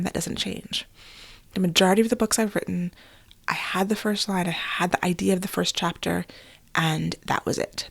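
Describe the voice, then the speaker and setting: A woman's voice: fairly low pitch, creaky, no room sound. A woman hosting her own podcast in a casual, candid solo talk, sounding a little stuffy and nasal as she gets over an illness. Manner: chatty and candid, with fillers and small laughs. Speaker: podcast host and author.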